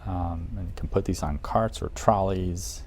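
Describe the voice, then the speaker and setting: A man's voice: low voice, steady tone, normal speed, darkish tone. A man presenting a casual product guide in an audio shop, talking informally and with enthusiasm for the gear. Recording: noticeable background noise, room ambience.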